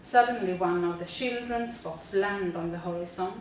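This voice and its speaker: A woman's voice: lowish voice, moderate pace, very echoey, recorded from a distance, a little hiss or hum, rough recording. A woman, a teacher reading a prepared presentation steadily with frequent fillers. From Sweden. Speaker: teacher presenting a school project.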